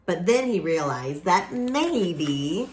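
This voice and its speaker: A woman reading a picture book aloud expressively, with dramatic pauses and casual asides to young listeners. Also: fairly low pitch, very animated, a little hiss or hum. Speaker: children's picture book reader.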